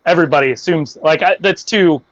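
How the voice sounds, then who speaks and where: A man with a fairly high voice gives blunt takes on a casual podcast, swearing now and then. The sound is dry and a little muffled, as if the audio was cut down. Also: a little fast, no room sound. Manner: animated, opinionated, swears casually. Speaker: podcast co-host. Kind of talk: casual wrestling chat.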